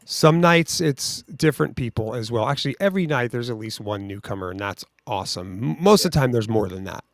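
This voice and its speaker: A man's voice: medium pitch, lively intonation, fairly quick, no room sound, studio quality. Upbeat motivational podcast man. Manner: upbeat, motivational, calls listeners "bro" and "dude". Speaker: podcast co-host. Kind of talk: casual podcast chat.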